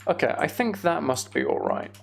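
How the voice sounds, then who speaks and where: A man's voice: fairly high pitch, huge pitch swings, moderate pace, creaky. A British man giving casual, wry game commentary, half muttering to himself and half chatting to viewers. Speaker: game streamer.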